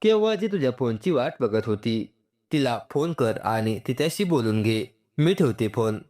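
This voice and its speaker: A man voicing a caring, gently scolding mother-in-law in a narrated family story. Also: mid-range voice, expressive, moderate pace, close to an everyday voice. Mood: reassuring.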